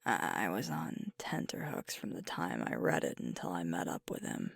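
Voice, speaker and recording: A woman playing a sweet, weary werewolf girlfriend in an ASMR roleplay, confiding softly and haltingly in a breathy voice. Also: rumbling low, wildly swooping pitch, dry recording, clean studio sound, exaggerated voice. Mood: anxious, confiding. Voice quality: soft, breathy, whispery. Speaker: ASMR voice actor. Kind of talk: ASMR roleplay, intimate one-sided conversation.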